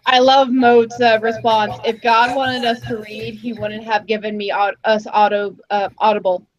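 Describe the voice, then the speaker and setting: A woman's voice: on the high side, flat delivery, normal speed, lo-fi sound. A woman co-hosting a casual livestream, chatty and amused as she reacts to the videos. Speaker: podcast co-host.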